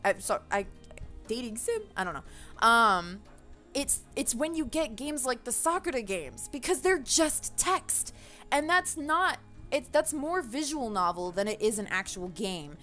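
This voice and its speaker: A woman's voice: fairly high pitch, expressive, a little slow, a bit bright, soft background music. A woman giving a casual, opinionated rant to viewers, passionate and emphatic, with loose swearing, as a gaming video creator.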